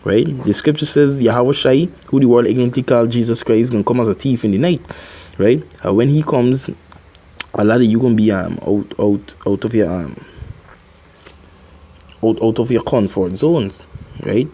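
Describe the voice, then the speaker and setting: A man hosting a religious commentary, slowly reading scripture and adding remarks with many fillers and repeated "right?". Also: mid-range voice, mellow, husky. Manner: reads scripture slowly, filler-heavy, keeps asking "right?".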